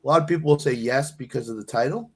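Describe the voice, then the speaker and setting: A man's voice: mid-range voice, fast talker, darkish tone, breathy edge. A man in his fifties debating sports casually as a panelist on a talk show. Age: 50s.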